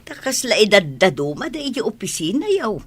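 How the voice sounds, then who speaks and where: A woman acting an ailing, affectionate elderly mother with a husky voice in a radio comedy drama. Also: medium pitch, very animated, fairly quick, a bit bright. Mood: chatty, proud.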